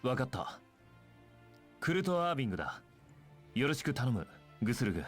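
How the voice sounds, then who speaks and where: A man's voice: mid-range voice, big pitch swings, rapid-fire, faint music behind. A man playing an earnest, resolute young squad commander in an anime game, speaking seriously, firmly and formally.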